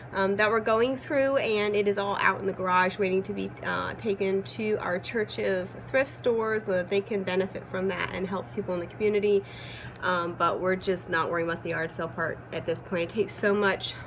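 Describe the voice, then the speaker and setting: Woman, 30s, hoarse from a cold, casual chatty vlog, faint background hum. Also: medium pitch, fairly bright tone. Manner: chatty, upbeat, frequent ums. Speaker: vlogger. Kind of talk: casual chat. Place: at home, changed rooms.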